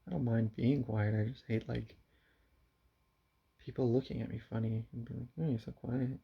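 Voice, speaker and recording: A young man talking quietly into his camera at home in a confessional vlog, halting and subdued, with frequent sighs. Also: mid-range voice, level tone, vocal fry, breathy edge. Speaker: vlogger. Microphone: camera mic. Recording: dull lo-fi camera audio.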